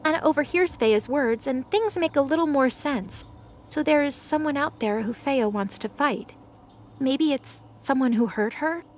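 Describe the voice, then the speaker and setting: A woman's voice: high voice, moderate pace, slight background noise. A woman reading scripted narration as the narrator of a fantasy audio drama.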